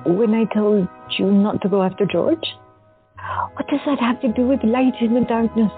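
A woman's voice: a little high, fairly even intonation, moderate pace, soft background music, natural voice. An actress playing a young woman with visions who is wry and affectionate in an audio drama. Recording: phone-like lo-fi.

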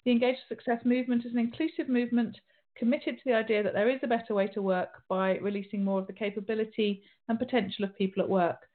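A woman's voice: fairly high pitch, flat delivery, quick pace. A middle-aged woman hosting an interview show, talking in a chatty way with restarts and fillers. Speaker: radio show host. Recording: tinny phone-like sound.

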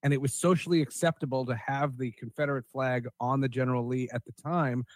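A man's voice: medium pitch, fairly even intonation, fast, dry recording. Emphatic man co-hosting, dry close sound. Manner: opinionated, emphatic, repeats himself for stress. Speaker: podcast co-host. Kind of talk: casual panel discussion.

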